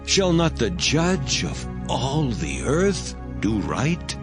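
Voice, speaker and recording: An actor playing a humble, pleading old patriarch in a dramatized scripture reading, earnest and deliberate. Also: male voice, medium pitch, big pitch swings, music in the background.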